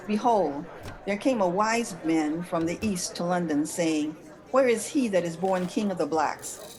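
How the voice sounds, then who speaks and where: Female discussion panelist, lowish register, informal talk and reading aloud, other voices clearly heard behind. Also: poor audio. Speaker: panelist on a holiday discussion show. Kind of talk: casual discussion and poem reading.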